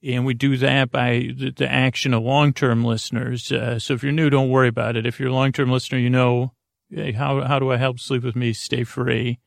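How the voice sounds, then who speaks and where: Creaky, rambling man with soft filler words. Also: medium pitch, little pitch movement, no room sound, studio quality. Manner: slow, meandering, soothing and self-deprecating. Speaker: sleep podcast host. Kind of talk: rambling bedtime podcast talk.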